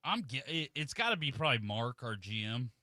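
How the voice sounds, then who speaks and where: Bright, dry-witted male co-host. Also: medium pitch, very animated, brisk, slight background noise. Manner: dry, agreeable sidekick quips. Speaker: radio co-host. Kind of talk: casual radio banter. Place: radio studio.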